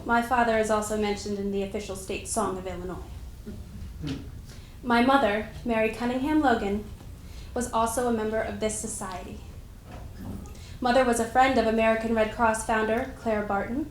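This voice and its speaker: A woman playing a dignified, proud senator's daughter, giving a formal, measured first-person dramatic reading. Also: fairly high pitch, fairly even intonation, natural voice. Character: Mary Logan Tucker, dignified senator's daughter, proud society member. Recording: echoey room with faint noise.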